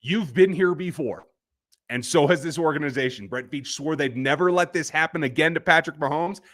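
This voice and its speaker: A man's voice: fairly high pitch, a little fast, a bit bright. A man hosting a live post-game sports reaction show, with a fired-up, ranting delivery. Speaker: podcast host.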